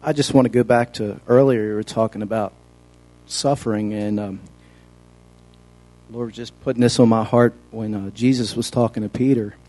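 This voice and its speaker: A man's voice: on the low side, steady tone, moderate pace, warm tone, poor audio. A man taking part in a Bible study, sharing a thought conversationally and quoting scripture.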